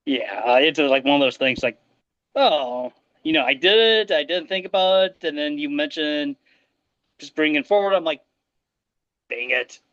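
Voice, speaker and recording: A man co-hosting a podcast in a rambling, hesitant way with a dry humor. His voice sits a bit high and smooth, but his mic sounds slightly dull and lo-fi, close and dry. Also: animated, normal speed, fairly bright tone, no room sound. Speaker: podcast co-host. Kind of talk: casual chat.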